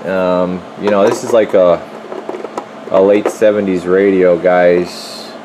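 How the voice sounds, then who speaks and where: A man with a fairly low voice talks in an informal, folksy way as he works, like a technician showing a repair. He is recorded on a handheld camera in a workshop, with clear steady equipment noise behind him. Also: slow. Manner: informal, folksy, talking while working. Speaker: radio repair technician presenting his work. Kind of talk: casual hands-on demonstration. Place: indoor electronics workshop. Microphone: handheld camera microphone.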